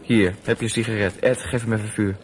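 An actor playing a smooth-talking petty crook in a Dutch radio drama, mock-friendly, boastful and condescending. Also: male voice, lowish voice, little pitch movement, quick pace, slight background noise, close to an everyday voice. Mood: mock-friendly, sly. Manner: jovial, condescending, wheedling.

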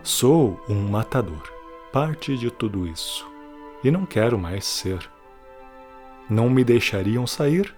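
A man playing a weary, guilt-ridden prisoner who confides a farewell testimony in a solemn, deliberate audio drama monologue. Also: medium pitch, very animated, a little mellow, soft background music. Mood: grim, resigned.

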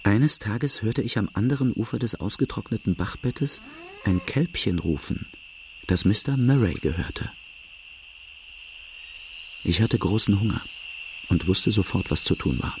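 Radio drama role: outlaw recalling boyhood, deep, measured, confessional, slight background noise. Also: male voice, lively intonation, a little mellow. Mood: brooding. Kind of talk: scripted first-person narration in German radio drama.

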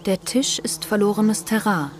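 A woman playing a composed radio play narrator, reading scripted prose in a measured, detached, documentary-like manner. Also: mid-range voice, a little hiss or hum, lightly acted. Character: composed female narrator chronicling a gentleman's life.

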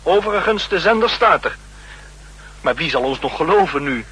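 An actor playing an anxious, remorseful junior agent in a 1960s radio drama, deferential and halting as he confesses. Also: a little high, expressive, a bit bright, slightly rough, heavily acted, low-quality recording. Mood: uneasy. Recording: humming, hissy 1960s radio recording.

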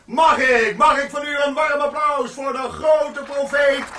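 A man's voice: very high voice, steady tone, background noise. Bombastic echoing showman. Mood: amazed, proclaiming. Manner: grandiose, drawn-out announcing. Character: bombastic, theatrical male show compere at doomsday. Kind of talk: comic radio drama acting. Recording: echoey, distant hall sound over music.

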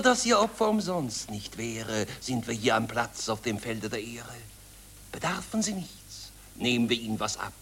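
An actor playing a fawning, greedy hyena with a human face, chanting slyly in verse in a radio play. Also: male voice, medium pitch, fairly bright tone, a little hiss or hum. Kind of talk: satirical radio drama in verse.

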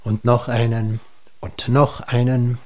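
A man acting a retired husband in his sixties in a radio play. He is gruff and stubborn, answering in curt, defensive phrases. The pitch is moderate and the delivery naturalistic. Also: little pitch movement, slow pace, close to an everyday voice. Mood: sardonic. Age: 60s.

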